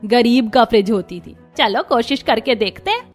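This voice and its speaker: A woman voicing a wise, gentle old village mother-in-law in a cartoon, encouraging and reminiscent. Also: fairly high pitch, lively intonation, moderate pace, soft background music. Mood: reminiscing.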